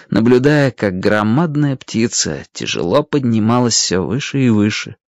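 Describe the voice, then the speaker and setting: An expressive narrator reading a children's animal story in a dramatic, scripted storytelling manner. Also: mid-range voice, no echo. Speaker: audiobook narrator.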